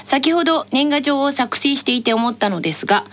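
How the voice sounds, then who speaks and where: A woman's voice: sits high, lively intonation, a little fast. A woman co-hosting a radio show in casual chat, with playful, teasing banter.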